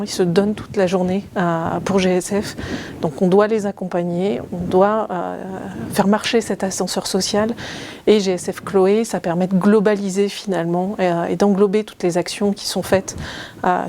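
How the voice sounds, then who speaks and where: A woman's voice: lowish voice, a little mellow, a little hiss or hum. A woman, a company manager, talking fluently in an interview with frequent fillers.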